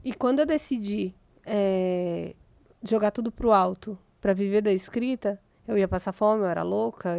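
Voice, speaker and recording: A woman's voice: mid-range voice, creaky, darkish tone, dry recording. A Brazilian woman, a writer and mentor, speaking earnestly and motivationally as a podcast host. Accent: Brazilian Portuguese. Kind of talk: casual conversation.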